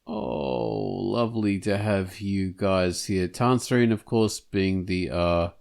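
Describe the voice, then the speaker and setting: Slow, laughing male podcast host. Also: fairly low pitch, fairly even intonation, gravelly, darkish tone. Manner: jokey, laughs often. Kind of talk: casual chat.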